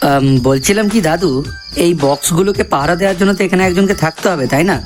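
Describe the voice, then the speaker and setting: A man's voice: sits high, quick pace, close to an everyday voice. A man voicing an eager, crude, loyal henchman in a comedy cartoon. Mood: deferential. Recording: faint music behind the voice.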